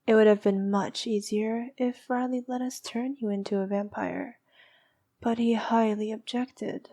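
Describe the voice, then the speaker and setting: Woman acting a slow, soft-voiced haughty vampire princess. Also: on the high side, fairly even intonation. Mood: composed, serious. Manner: soft, regal, teasing, sometimes dropping to a whisper. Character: noble, haughty but needy vampire princess. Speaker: ASMR roleplay performer. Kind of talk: slow intimate ASMR roleplay acting.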